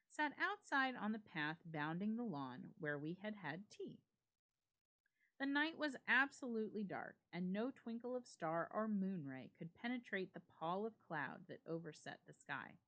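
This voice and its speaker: A woman reads a classic ghost story aloud in a dramatic style. Her voice sits at a medium pitch and moves widely up and down. The phrasing is measured and emphatic. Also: a bit bright. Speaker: library staff reader.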